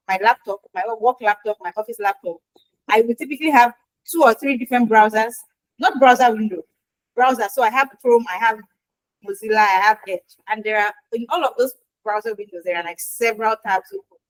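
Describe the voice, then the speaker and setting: A woman, a Yoruba speaker, answering interview questions in a lively, chatty way with acted-out quotes. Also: a little high, fairly quick, no echo, rough recording. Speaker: guest expert in quality assurance.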